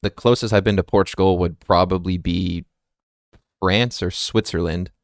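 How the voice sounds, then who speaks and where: American man, podcast host, low voice, dry close sound, earnest and curious. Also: fairly even intonation, moderate pace, no room sound. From United States. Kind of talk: casual interview questions.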